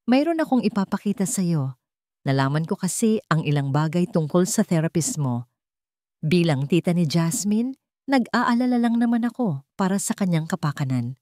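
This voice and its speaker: A woman's voice: lowish voice, lively intonation, normal speed, warm tone, dry recording, studio quality, close to an everyday voice. Woman putting on a sugary scheming rich aunt. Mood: sweetly scheming. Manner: honeyed, falsely caring. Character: sweetly scheming wealthy middle-aged aunt.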